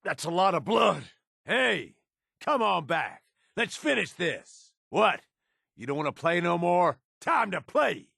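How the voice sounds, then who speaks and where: Gravelly, cynical raider henchman. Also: high-pitched, big pitch swings, slow, bright, forceful tone, dry recording, studio quality, heavily acted. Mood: taunting, aggressive. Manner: rough folksy slang, sardonic and menacing. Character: tough, cynical middle-aged male raider henchman. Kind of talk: video game character voice lines.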